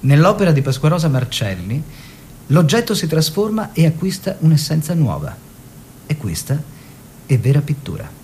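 A man's voice: big pitch swings, normal speed, a little mellow. An actor playing a theatrical man of the arts world, declamatory and ironic, in a radio drama style. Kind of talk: theatrical narration and dialogue for radio. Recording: radio play with faint noise and rumble.

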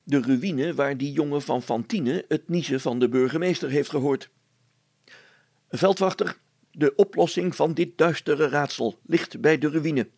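A man's voice: fairly high pitch, a little slow, darkish tone, no echo. A man voicing a pompous, self-important village schoolmaster who plays detective in a storybook, declaiming in formal words. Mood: triumphant deduction.

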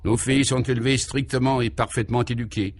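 A man's voice: medium pitch, fairly even intonation, a little fast, natural voice. A man voicing a grand, nostalgic woman courtesan for a radio serial, theatrical, genteel and self-important. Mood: proud, dignified. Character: grand, nostalgic middle-aged courtesan, proud madam.

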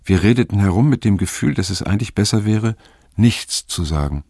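Reflective radio play narrator, solemn, low creaky husky voice, measured delivery. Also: male voice, expressive, dark tone, dry recording, clean studio sound. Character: reflective male narrator recalling a past LSD experiment. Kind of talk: scripted radio drama narration.

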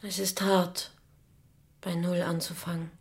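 A woman's voice: lowish voice, little pitch movement, unhurried. An actress playing a young adult daughter who probes and reproaches her mother in a radio drama. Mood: searching. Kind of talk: dramatic radio play dialogue.

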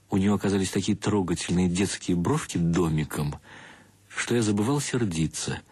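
A man's voice: sits low, a little mellow, husky, dry recording. A man acting as a wry, self-mocking intellectual bridegroom, narrating a radio play in an ironic, mock-solemn, ornate way. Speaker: actor narrating a radio drama. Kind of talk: first-person literary narration, radio play.

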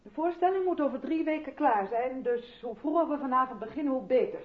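A woman's voice: high voice, lively intonation, a little fast, husky. An actress playing an earnest, assured woman who directs an amateur theatre group, in 1970s radio play style. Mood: brisk. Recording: muffled, noisy old radio recording.

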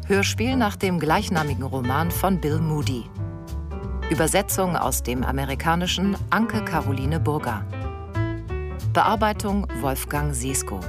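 A woman's voice: deep voice, animated, measured pace. A woman reading the scripted title and credits of a radio play as announcer. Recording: music playing behind the voice. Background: music.

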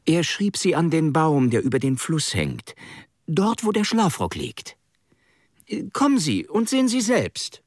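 A man's voice: fairly high pitch, wildly swooping pitch, measured pace, no room sound, clean studio sound, heavily acted. An actor playing an earnest young man in a German radio drama, talking in a muddled, rambling and slightly hesitant way. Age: young adult.

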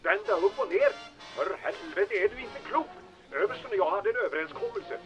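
Imperious high-voiced baron, acted by a man. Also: lively intonation, dull audio, music in the background. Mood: furious. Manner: scolding, demanding, swearing. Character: imperious, hot-tempered baron giving orders. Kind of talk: audio drama acting.

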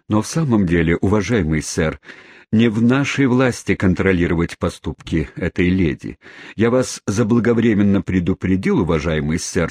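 A small, cautious lawyer, courteous and smooth, voiced by a male reader. His voice stays close to the reader's own: low and husky. The sound is dry and close. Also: a little mellow, no echo.

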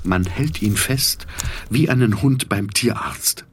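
A man narrating a literary audio drama in an introspective, dramatic way with deliberate phrasing. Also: lowish voice, expressive, a little hiss or hum.

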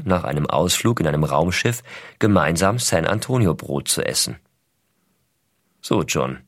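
An astronaut father writing to his little son. The voice is low and recorded very dry. He reads tenderly and reflectively, at a measured storytelling gait, as in a radio feature. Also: dry recording. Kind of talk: scripted reading of personal letters.

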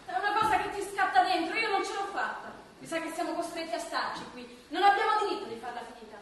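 A woman's voice: very high voice, very animated, quick pace, fairly bright tone, a little hiss or hum, exaggerated voice. A woman playing an exasperated, despairing character in a comic drama, heard from a distance in an echoey space. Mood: exasperated, bleak. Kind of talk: theatrical comic drama acting. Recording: very echoey, distant live stage recording.

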